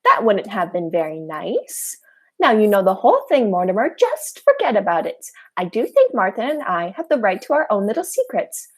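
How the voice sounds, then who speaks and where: A teenage woman playing a sweet, unflappable elderly aunt, genteel and gently scolding, in a high school radio play. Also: huge pitch swings, moderate pace, slightly rough. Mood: prim, unconcerned.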